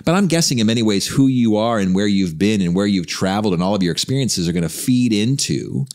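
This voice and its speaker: A man in his forties hosting a podcast in a small studio, talking emphatically into a boom mic. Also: animated, fast, darkish tone. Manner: emphatic, gestures a lot while talking. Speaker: podcast host and life coach. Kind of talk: podcast conversation. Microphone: studio mic on boom arm.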